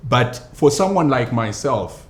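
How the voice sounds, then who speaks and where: A man, a pastor and actor, answering interview questions in a measured, hesitant way with many fillers. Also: mid-range voice, lively intonation. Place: studio. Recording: echoey studio with faint noise.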